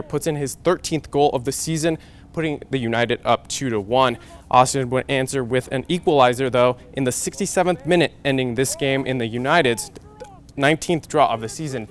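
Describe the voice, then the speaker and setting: A young American man in his twenties anchoring a scripted TV sportscast with game highlights. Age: 20s.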